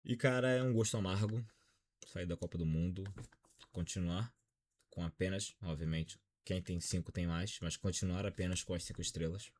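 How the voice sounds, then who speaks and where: A man's voice: low voice, steady tone, normal speed, a little mellow. A Brazilian man in his twenties with a Brazilian accent, giving a dejected, frank football opinion vlog. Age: 20s. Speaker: football commentator.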